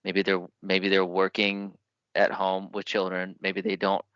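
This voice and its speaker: A man hosting a podcast interview, with a very low voice and a bright, forceful edge. He talks in a thoughtful, conversational way. The recording is dry and a little muffled. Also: monotone, unhurried, clear, resonant tone, dry recording. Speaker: podcast host.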